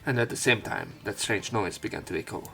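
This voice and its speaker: A man's voice: on the low side, animated, fairly quick, gravelly. A man reading a visual novel aloud as a let's play, breaking off into casual, irreverent commentary with some swearing. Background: game music.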